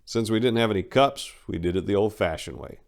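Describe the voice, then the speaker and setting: A man with a lowish voice gives a dramatic reading of a first-person memoir. He tells it in a colloquial way, brash and wry throughout. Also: brisk. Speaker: narrator.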